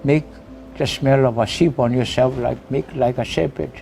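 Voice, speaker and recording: A man's voice: mid-range voice, steady tone, normal speed, vocal fry, warm tone. A man from Kiribati with a Kiribati accent, a priest giving a personal testimony, often tagging phrases with "eh?". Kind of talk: personal testimony to camera in a mission film. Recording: music bed behind the voice.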